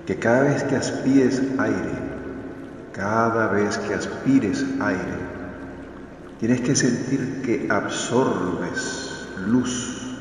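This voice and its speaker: Male guided-meditation narrator, unhurried and soothing, very echoey sound. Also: lowish voice, a little hiss or hum. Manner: soothing, deliberate, repeats phrases gently. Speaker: meditation guide, video host. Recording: cavernous long reverb over music. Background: music.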